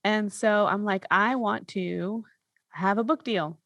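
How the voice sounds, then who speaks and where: A woman's voice: medium pitch, slow pace, creaky, a bit bright, dry recording. A woman in her thirties chatting casually and candidly as a podcast host. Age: 30s. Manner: candid, informal. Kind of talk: casual chat.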